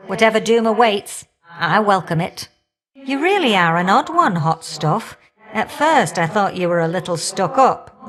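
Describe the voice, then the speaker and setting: An actress voicing a warm, feisty old great-aunt fire goddess for a video game, with folksy, teasing, old-fashioned talk. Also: female voice, medium pitch, lightly acted. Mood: resigned. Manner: folksy, teasing, old-fashioned turns of phrase, calling listeners dearie. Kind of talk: video game character acting.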